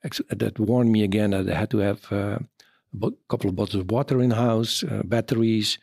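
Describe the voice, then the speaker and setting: A Dutch man in his fifties, an army officer answering interview questions in English with a Dutch accent, pausing often to search for words. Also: on the low side, fairly even intonation, gravelly, darkish tone, no room sound, studio quality. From Netherlands. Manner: halting, searching for words, many fillers.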